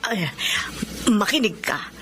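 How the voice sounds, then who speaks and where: Reassuring Filipina aunt, mid-pitched. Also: female voice, big pitch swings, slow. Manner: reassuring, confiding. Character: reassuring, pious middle-aged aunt. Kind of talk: Filipino radio drama acting.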